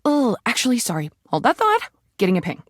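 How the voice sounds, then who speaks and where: A man's voice: extremely high pitch, wildly swooping pitch, fairly quick, dry recording, studio quality, full character voice. A man voicing a hyperactive goofball game mascot, campy and theatrical, full of playful silliness. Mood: flustered, chatty.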